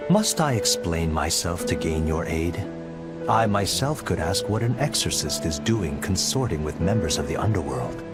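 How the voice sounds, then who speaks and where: A man voicing a composed, proud crown prince with formal, aristocratic diction in a video game, under loud music. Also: sits low, animated, moderate pace. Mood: proud, resigned.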